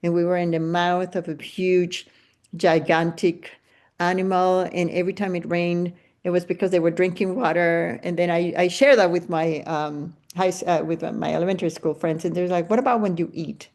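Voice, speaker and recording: Low-pitched older woman podcast host. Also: fairly even intonation, normal speed, a little mellow. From Venezuela. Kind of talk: casual interview chat.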